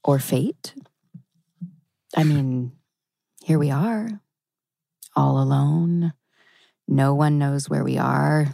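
Low, unhurried, creaky-voiced woman, acted out. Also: dark tone, dry recording, studio quality, full character voice. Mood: wistful, intimate. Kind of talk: live table read of TV drama script.

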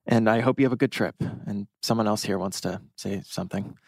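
A man's voice: lowish voice, brisk, gravelly, no echo. An actor playing a wry, awkward, talkative teenage boy in a table read of a teen drama. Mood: awkward, affectionate.

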